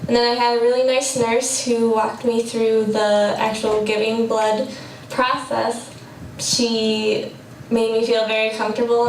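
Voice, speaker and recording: A young woman gives an interview account at a slow pace with little rise and fall. She sounds distant, in an echoey space. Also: fairly high pitch. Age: young adult. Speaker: student interviewee. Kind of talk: recounting an experience in an interview. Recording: echoey, distant, faint noise.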